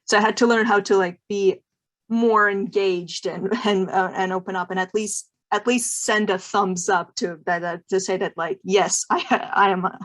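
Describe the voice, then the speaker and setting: A woman's voice: mid-range voice, fairly even intonation, normal speed. A woman student on a panel, reflective, repeating words as she talks. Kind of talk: panel discussion.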